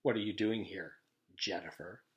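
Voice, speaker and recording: A man's voice: low voice, moderate pace, lo-fi sound, natural voice. A man voicing a stern, suspicious mystery man, questioning coldly and formally in a children's storybook. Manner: cold, formal, skeptical questioning. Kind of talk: children's storybook read-aloud.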